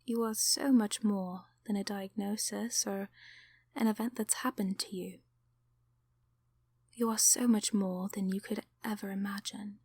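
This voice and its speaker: A woman voicing a kindly sleep paralysis demon in an ASMR roleplay, encouraging and affectionate in a soft, soothing, often whispered delivery. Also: mid-range voice, steady tone, unhurried, breathy edge, no room sound, clean studio sound. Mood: soothing, reassuring.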